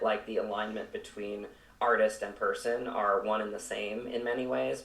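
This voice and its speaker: A man giving reflective interview answers as a podcast guest, earnest and halting, often repeating words. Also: fairly low pitch, fairly bright tone. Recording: roomy echo, distant from the mic.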